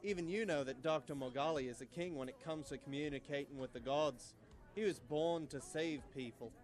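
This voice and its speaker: A student actor playing a gossipy, credulous tavern drinker in a live audio drama. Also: male voice, a little high, fairly quick, close to an everyday voice. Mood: earnest, awed. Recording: music behind, live radio studio.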